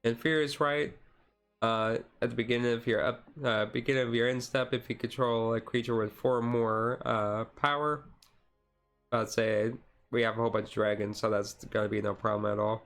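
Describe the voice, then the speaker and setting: A man streamer giving a casual, chill card-deck walkthrough, halting and self-correcting, his voice a little tired. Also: medium pitch, steady tone, fairly bright tone, soft background music. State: voice tiring. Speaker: streamer, card game hobbyist.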